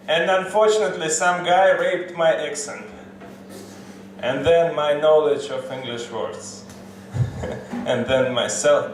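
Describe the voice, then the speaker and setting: Halting self-mocking comic, very slow. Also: male voice, a little high, recorded from a distance, a little hiss or hum. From United States. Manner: halting, self-mocking, chuckling between lines. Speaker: stand-up comedian. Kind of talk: stand-up set at an open mic. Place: comedy club stage. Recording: echoey live room from afar.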